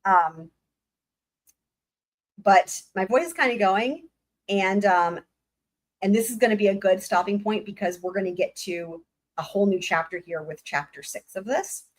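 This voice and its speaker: A woman hosting a casual livestream, excited and rambling, her voice going hoarse. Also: mid-range voice, unhurried, pauses often. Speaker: livestream host.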